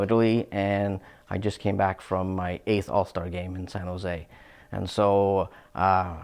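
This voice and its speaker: A middle-aged man speaks in a lowish voice. He answers interview questions in a relaxed, unhurried way, pausing on fillers and restarting his sentences as he explains his craft. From Canada. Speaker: hockey equipment technician.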